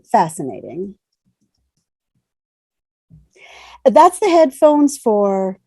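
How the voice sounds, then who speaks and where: A woman's voice: mid-range voice, wildly swooping pitch, slow, a little mellow, dry recording, exaggerated voice. A woman playing a polite space-shuttle hostess reciting scripted announcements in a fan table read. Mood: brisk, dutiful. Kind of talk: fan table read over video call.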